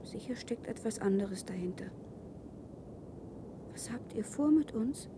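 A woman's voice: on the high side, lively intonation, a little slow, whispered. An actress playing a curious, distrustful fifteen-year-old girl in a science-fiction radio drama, speaking in a direct, probing, naive way. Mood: suspicious.

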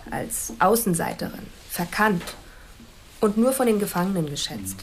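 An actress playing an analytical police profiler in a radio drama, speaking in a measured, formal, clinical way. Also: female voice, on the low side, big pitch swings, a little hiss or hum. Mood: clinical, assured. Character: analytical police profiler presenting a personality assessment. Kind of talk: German radio drama acting.

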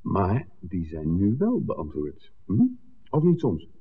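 An excitable, shrewd man in a vintage radio drama, reasoning aloud with emphatic questions. His voice is lowish and husky, swinging widely in pitch. The sound is narrow and tinny. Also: takes it slowly, exaggerated voice. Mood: agitated, reasoning. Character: John, shrewd excitable filmmaker piecing together a smuggling plot. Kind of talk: 1960s Dutch radio drama acting. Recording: roomy reverb, phone-like old radio recording.

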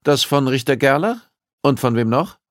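A man's voice: medium pitch, expressive, normal speed, no echo, clean studio sound, pitched above the performer's own voice, lightly acted. A man voicing a worried, reluctant newspaper publisher in an audiobook, wary and grudging in manner. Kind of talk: audiobook dialogue acting.

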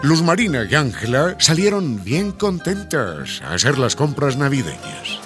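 A man narrating a family radio soap opera in an expressive, scripted storytelling manner. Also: medium pitch, unhurried, music in the background.

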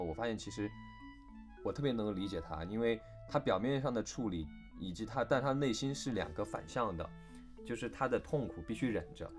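A man speaking as a voice actor guest in a casual panel interview, reflective and emphatic as he explains his points at length. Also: lowish voice.

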